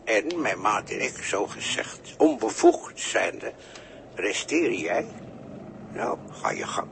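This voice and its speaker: Idle deckhand, vintage radio play. Wry mock-formal drawl, slow and animated, faint hiss. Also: male voice, fairly low pitch, vocal fry, exaggerated voice. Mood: mocking, smug. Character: mocking, pompous idle deckhand, wryly unhelpful. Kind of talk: 1960s Dutch radio play acting. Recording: faintly noisy 1960s radio recording.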